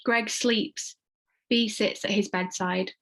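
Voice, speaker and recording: A woman's voice: medium pitch, steady tone, takes it slowly. A woman reading stage directions as narrator in a scripted table read.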